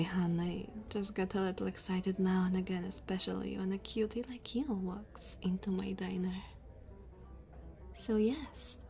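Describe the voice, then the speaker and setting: A woman's voice: medium pitch, warm tone, natural voice. A woman playing a sassy, flirty diner waitress in an ASMR roleplay, teasing and chatty. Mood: playful, curious.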